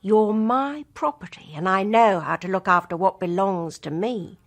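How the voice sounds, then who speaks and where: An actress in a radio drama playing a possessive, menacing lover with an intense, gloating manner. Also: female voice, medium pitch, normal speed, close to an everyday voice.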